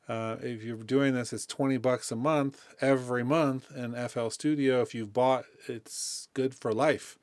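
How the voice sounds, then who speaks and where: A man giving a casual, unscripted music software tutorial, thinking aloud in a conversational way as he demonstrates. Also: medium pitch, fairly even intonation, measured pace, hardly any pauses, no echo, studio quality.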